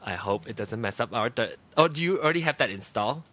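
Casting note: Malaysian-accented male podcast host, lively pitch, bright tone, giggly and campy. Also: quick pace. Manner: chatty, giggly, campy asides. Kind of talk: casual podcast chat.

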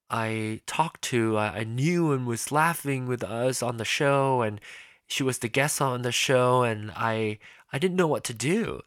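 Podcast host, man, bright forward tone, dry close mic, chatty and rambling. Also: medium pitch, no echo. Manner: rambling, many restarts and fillers. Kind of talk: casual solo podcast talk.

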